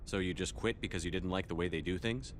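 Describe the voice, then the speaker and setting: A deep-voiced space commander from a video game, played by a man. He is firm, steady and clipped, used to giving orders, with faint rumble behind. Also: fast, lightly acted. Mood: measured. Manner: authoritative, clipped. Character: male human military starship commander, firm and steady.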